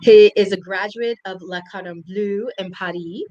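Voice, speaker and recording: A woman's voice: mid-range voice, fairly even intonation, normal speed, warm tone, highs cut off. A young woman hosting a live interview over a video call, earnest and reflective. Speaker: host. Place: indoor room at home.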